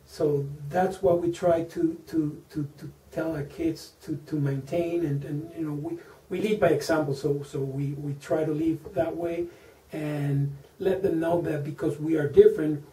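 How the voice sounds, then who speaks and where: A man's voice: a little high, steady tone, a little slow, warm tone. Hesitant Venezuelan-accented man, echoey room. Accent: Venezuelan Spanish. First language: Spanish. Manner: hesitant, many fillers and repeated words. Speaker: interview guest, engineer. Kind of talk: interview answers. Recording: echoey room, mic at a distance.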